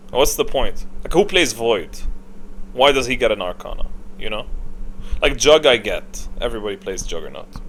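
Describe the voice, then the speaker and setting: A man's voice: medium pitch, fairly bright tone. A man giving casual, off-the-cuff gaming commentary as a video creator, blunt and opinionated in slangy wording. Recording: noticeable background noise.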